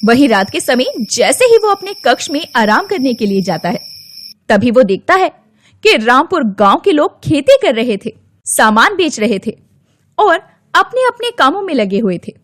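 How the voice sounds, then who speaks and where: A woman storyteller reads a scripted children's tale. Her voice is high-pitched and swoops expressively, with a dry studio sound. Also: sing-song, normal speed, no echo.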